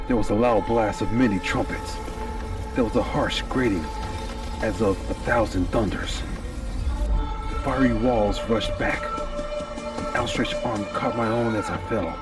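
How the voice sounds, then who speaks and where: A man's voice: fairly low pitch, unhurried, long pauses, distant mic, over loud music. A man giving a theatrical, anguished and intense first-person reading of a gothic horror story.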